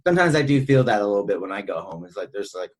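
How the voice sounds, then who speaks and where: A man's voice: on the low side, very animated, rapid-fire. A man in a casual, rambling film discussion as a podcast co-host, often leaning on "you know". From northern New York State, United States.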